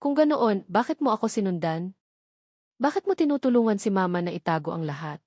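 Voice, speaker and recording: A woman's voice: medium pitch, animated, a little fast, darkish tone, no room sound, natural voice. Female narrator as wealthy young man, Filipino accent, resolute, demanding, serious, romance storybook, close dry recording. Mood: firm, accusing.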